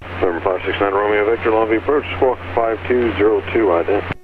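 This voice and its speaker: A man speaks as an air traffic controller in a fast, level, procedural patter. His voice is on the low side and comes through a narrow, distant radio channel with clear static and noise. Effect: aviation radio transmission. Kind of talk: clipped procedural radio instructions. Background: radio static and engine noise.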